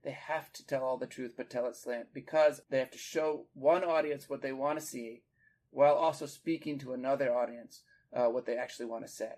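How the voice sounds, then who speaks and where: A man's voice: medium pitch, fairly even intonation, brisk, darkish tone. A man hosting a literary discussion, explaining like a teacher in an articulate way with emphasis on key words. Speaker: podcast host and literature teacher.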